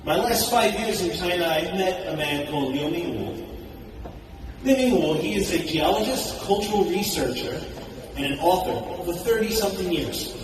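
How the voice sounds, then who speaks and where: A man with a slightly high voice gives an eager, chatty talk at a conference. He is picked up from a distance in a large, booming hall, and steady background noise runs under his voice. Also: normal speed. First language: English. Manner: enthusiastic, conversational, poses questions to the audience. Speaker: conference speaker. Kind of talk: public speech at a conference. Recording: echoey hall recorded from a distance, audible noise.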